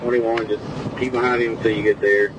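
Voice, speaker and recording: A man's voice: on the low side, level tone, fairly quick, far from the mic. A man speaking as a police dispatcher over the radio, repeating reports in a clipped, businesslike, procedural way. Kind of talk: procedural radio read-backs. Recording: very noisy police radio recording. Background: loud vehicle noise.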